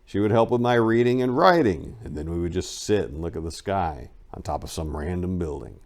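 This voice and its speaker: A man giving an expressive dramatic reading of a first-person memoir, with emphatic swings in loudness. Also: low voice, brisk. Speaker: narrator.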